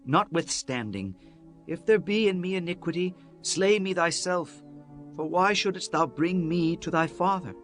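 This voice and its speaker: A man's voice: high-pitched, lively intonation, unhurried, a bit bright, soft background music. A man playing an earnest, pleading young man of ancient Israel in a dramatized Bible reading with archaic diction. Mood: anxious, pleading. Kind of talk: dramatized scripture acting.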